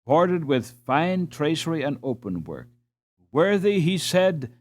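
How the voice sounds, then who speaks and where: A man reading a storybook as an audiobook narrator, in a measured, expressive and dramatic way. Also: a little high.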